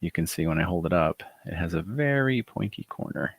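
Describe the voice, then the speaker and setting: A middle-aged man with a soft, gravelly voice, chatting casually and rambling on a live stream while showing handmade dice. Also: sits low, fairly quick, no room sound. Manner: relaxed, rambling, many fillers. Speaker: author hosting a live chat.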